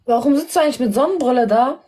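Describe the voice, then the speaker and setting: A woman's voice: high-pitched, rapid-fire, warm tone. A nineteen-year-old woman on a phone livestream, firing blunt, challenging questions. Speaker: livestream participant. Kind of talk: casual livestream banter.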